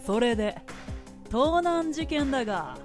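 An imperious, scheming man played by a woman in a radio drama, pitched high. The speech is blunt, masculine and commanding. Soft music sits underneath. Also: big pitch swings, measured pace. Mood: matter-of-fact.

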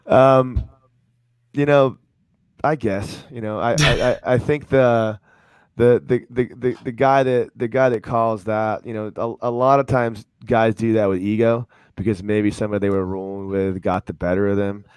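A man's voice: on the low side, steady tone, normal speed, darkish tone, clear, resonant tone, no room sound. A man hosting a casual podcast chat, stumbling over repeated words before he lands his points with emphasis. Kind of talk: casual conversation.

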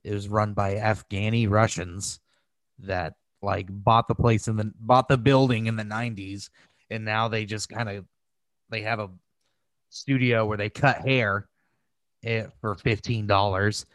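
A man's voice: lowish voice, steady tone, unhurried, a bit bright, no echo. A man chatting casually on a podcast, telling stories in a rambling, loose way with some swearing. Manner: profane, rambling storytelling. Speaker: podcast host. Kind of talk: casual conversational podcast chat.

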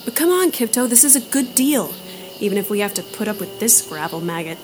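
A woman's voice: mid-range voice, expressive, brisk, noisy background, close to an everyday voice. A woman playing a tough, sardonic space adventurer in an audio drama, with a wry, confident delivery. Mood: insistent. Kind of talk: audio drama character acting. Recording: music playing behind the voice.

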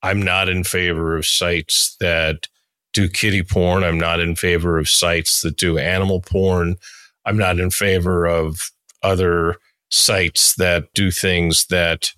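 Guy hosting a casual interview, pretty deep voice, dry sound. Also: level tone, takes it slowly, sharp tone, clear, resonant tone, no room sound. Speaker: podcast host interviewing a guest.

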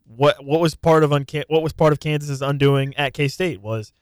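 A man's voice: on the high side, fairly even intonation, moderate pace, clean tone, no room sound. Man, agreeable co-host, sports banter, dry close mic. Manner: agreeable, chimes in with short affirmations. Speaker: sports talk show co-host. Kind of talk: casual sports chat.

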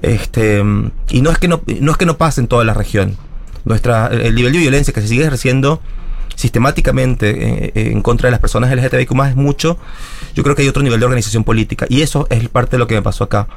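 A guest from Venezuela, speaking earnestly in long explanatory runs with small restarts during a radio interview. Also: male voice, mid-range voice, fast, creaky. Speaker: guest, academic secretary of a school. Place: radio studio.

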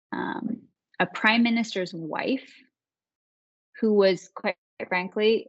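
Gravelly-voiced English woman, dry and muffled. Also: on the low side, no room sound. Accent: English, Manchester. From England. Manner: storytelling, laughs easily. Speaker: guest. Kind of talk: interview conversation.

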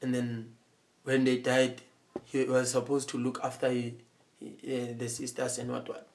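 Man, guest, halting and earnest. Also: mid-range voice, completely flat delivery, vocal fry. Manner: halting, repetitive, checks in with "you understand?". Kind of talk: casual conversation.

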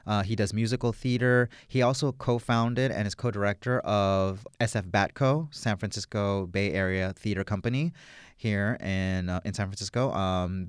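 A man's voice: on the low side, clean tone, no echo, studio quality. A man, a film director, talking through an interview in a rambling, enthusiastic way with many fillers. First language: English. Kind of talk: casual interview answers.

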